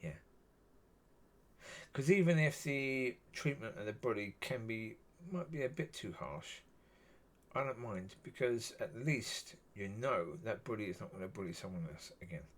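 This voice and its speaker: A man with a husky voice, talking alone in a rambling, musing way and often saying "yeah". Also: on the low side, expressive, measured pace, fairly bright tone. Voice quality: husky, gravelly. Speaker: solo video talker. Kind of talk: rambling solo monologue.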